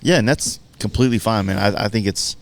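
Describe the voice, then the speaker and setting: Low-voiced man, casual podcast host. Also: fast. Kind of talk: casual chat, slangy.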